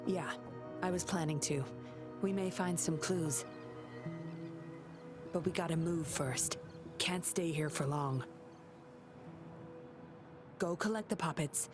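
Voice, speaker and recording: Female game investigator, low pitch, coy, teasing, composed, confident, background music. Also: slow, pauses often. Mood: serious, businesslike. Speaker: undercover investigator character. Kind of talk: scripted video game voice acting. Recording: music under the voice.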